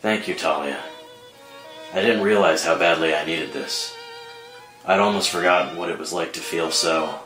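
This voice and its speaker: A man playing a brooding, guarded vigilante in a screenplay table read, speaking in an understated, measured way. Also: deep voice, fairly bright tone. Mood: earnest, tender. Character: Bruce, brooding guarded adult male vigilante softening in love. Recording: roomy echo under dramatic score music.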